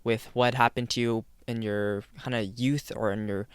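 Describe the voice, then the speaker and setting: A young man hosting a podcast, asking questions in a relaxed, affirming way and often saying "kinda.". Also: medium pitch, moderate pace, clear, resonant tone, dry recording. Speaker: podcast host. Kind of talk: casual interview chat.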